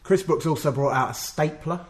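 A man's voice: fairly high pitch, normal speed. A man co-hosting a casual chat, who recaps the night's matches and keeps backing his partner with short yeahs. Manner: affirming, lots of "yeah", recapping events. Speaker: podcast co-host.

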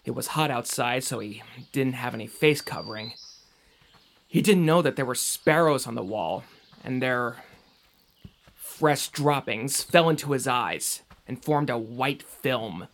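A man's voice: medium pitch, a little slow, gravelly, fairly bright tone. Earnest, doubtful young man played by an actor. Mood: somber, explaining. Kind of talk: audio drama acting.

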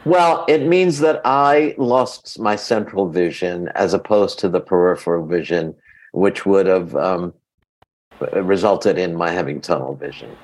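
A man's voice: low-pitched, measured pace, darkish tone, poor audio. A man from Queens, New York, a native Greek speaker, speaking as an interview guest and telling personal stories in a conversational way. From grew up in Queens, New York, from early childhood. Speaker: guest, actor.

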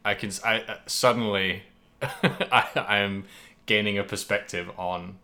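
A man's voice: on the low side, a little slow, sharp tone. A man co-hosting a podcast, talking casually about video games with wry, emphatic opinions. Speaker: podcast co-host. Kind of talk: casual video game discussion.

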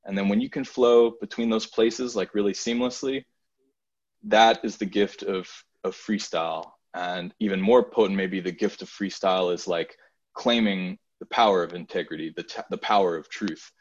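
A man's voice: low voice, fairly even intonation, normal speed, highs cut off. A man freestyle rapping in a rhythmic rhyming flow, then talking earnestly and thoughtfully as a guest artist. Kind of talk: freestyle rap then reflective talk.

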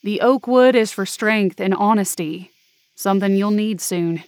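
A woman voicing a kindly ghostly railway signalman in an audio drama, calm, measured and reassuring. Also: medium pitch, dry recording, clean studio sound, close to an everyday voice.

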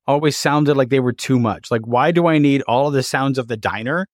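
A man's voice: medium pitch, a little fast, no room sound, clean studio sound. Canadian man hosting a podcast. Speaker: theater podcast host. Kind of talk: scripted podcast intro and conversational interviewing.

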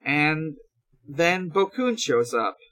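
A podcast host casually recapping a show in a halting, hesitant way. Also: medium pitch, expressive, fairly bright tone. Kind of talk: casual episode recap chat.

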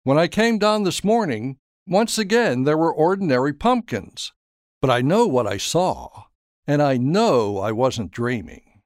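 A man voicing a sensible, quietly stubborn older grandmother in an audio story. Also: fairly high pitch, sing-song, measured pace, no echo, studio quality. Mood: insistent.

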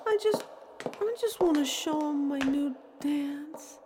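A woman's voice: high voice, slow pace, heavy background noise, voice pushed up. An actress playing an eager, pleading young girl who wants attention in an audio drama.

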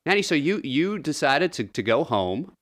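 A man co-hosting a relaxed podcast chat, his pitch swinging widely as he talks. He laughs easily and keeps things moving with quick affirmations and questions. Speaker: podcast co-host.

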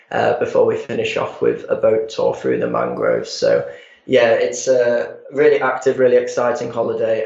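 A man's voice: mid-range voice, gravelly, a little mellow, echoey room, dull audio. A man with a Yorkshire accent, a tour manager describing a trip steadily in a casual interview, with some fillers. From Harrogate, Yorkshire, England.

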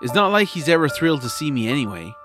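A man voicing an eager, earnest young boy hero with an excitable manner in a family fantasy audio drama. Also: a little high, fast, over music. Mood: cheerful, musing.

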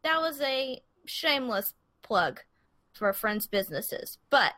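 This is a woman co-hosting a relaxed podcast, talking fast and bubbly. Her tone is bright and forward, sitting a little higher than most. The sound is dry and close, with almost no room. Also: no echo. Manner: bubbly, quick laughs, chatty plugs. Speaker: podcast co-host. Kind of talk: casual chat.

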